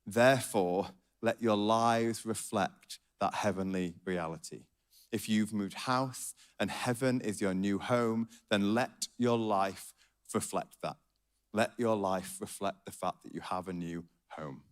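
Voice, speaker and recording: A man with a Yorkshire accent preaching a sermon at a church gathering, earnest and often dropping to a hushed, intimate tone. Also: lowish voice, unhurried.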